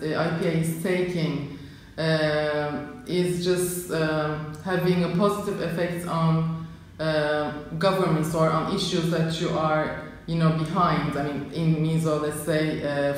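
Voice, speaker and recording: Hesitant woman interviewer in an echoey room. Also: low-pitched. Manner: hesitant, with many ums and I means. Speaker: interviewer, association coordinator. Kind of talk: conversational interview. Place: office. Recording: very echoey office with faint noise.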